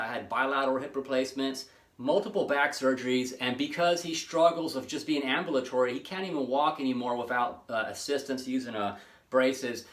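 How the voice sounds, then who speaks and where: A man in his late forties hosting his own fitness channel, talking casually and rambling with many pauses and restarts. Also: medium pitch, fairly bright tone, reverberant. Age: 40s. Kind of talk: casual monologue to camera.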